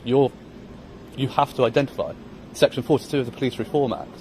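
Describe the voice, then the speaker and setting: British man, quick, assertive street challenger filming outdoors. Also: mid-range voice, expressive, darkish tone, slight background noise. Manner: assertive, persistent questioning about rights. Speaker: member of the public filming officers. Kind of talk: confrontational street exchange. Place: outdoors on a public footpath.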